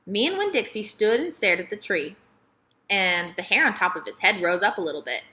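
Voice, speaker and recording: A woman's voice: mid-range voice, very animated, brisk, sharp tone. A woman reading a children's novel aloud as scripted first-person narration. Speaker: storybook reader.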